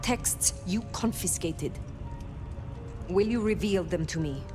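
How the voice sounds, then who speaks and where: An actress playing a stoic, blunt ancient vampire woman in a video game, speaking formally and deliberately. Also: fairly low pitch, music in the background. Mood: stern. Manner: formal, deliberate, terse, direct.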